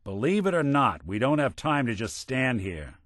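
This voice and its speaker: An actor voicing a world-weary android private detective in a video game, with an American accent and a wry, streetwise, old-fashioned noir delivery. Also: male voice, mid-range voice, very animated, normal speed, a bit bright, no room sound, clean studio sound. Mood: firm, streetwise. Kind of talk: video game voice acting in 1940s film noir detective manner.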